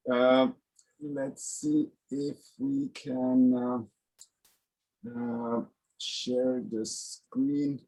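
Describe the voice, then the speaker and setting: A middle-aged man from Sweden presents to an audience over a video call. He speaks in an earnest, halting way, pausing often as he works through his points. His voice sits at a medium pitch, neither deep nor high. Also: poor audio. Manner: halting, earnest, many pauses. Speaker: guest speaker, engineer. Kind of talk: online colloquium presentation.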